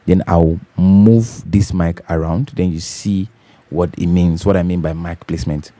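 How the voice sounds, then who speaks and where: A man with a deep, bassy voice hosting a home recording tutorial, talking casually and repeating his key points as he checks in with viewers. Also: fairly even intonation, unhurried, mellow, no room sound. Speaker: host of a home recording channel. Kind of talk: casual tutorial talk to camera. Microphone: studio condenser microphone.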